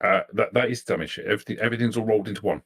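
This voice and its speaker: A man acting as game master, narrating a tabletop game in a halting, improvised way and repeating phrases as he thinks. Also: fairly low pitch, fairly bright tone.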